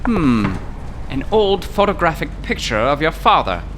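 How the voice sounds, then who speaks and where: An actor playing a fussy, wordy gentleman scholar who speaks in flowery, old-fashioned phrases and gets excited easily, in old-time radio drama style. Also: on the high side, unhurried, noisy background. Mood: excitable, wordy. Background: music.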